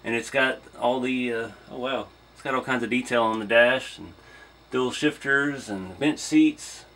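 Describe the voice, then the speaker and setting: A man's voice: mid-range voice, unhurried, a bit bright, low-quality recording. A man giving an informal hands-on first look as a hobby reviewer, thinking aloud as he goes. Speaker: hobby vlogger reviewing an RC truck.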